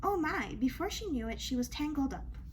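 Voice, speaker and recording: A woman reading a children's story aloud in an expressive, dramatic way, with emphatic questions and asides. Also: high-pitched, brisk, a little hiss or hum. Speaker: storybook reader.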